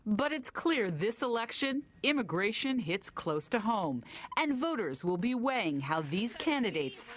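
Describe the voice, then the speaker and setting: A woman reporting for local TV news, delivering her story in a brisk, conversational way. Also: a little high, very animated, slight background noise.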